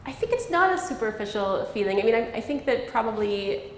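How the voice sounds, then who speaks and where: A woman's voice: a little high, animated, fairly quick, a little hiss or hum. Woman linguist in an echoey hall. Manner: thoughtful, explanatory, laughs lightly. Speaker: linguist giving a public talk. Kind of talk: conversational public Q&A. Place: lecture hall. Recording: echoey hall with faint ambience.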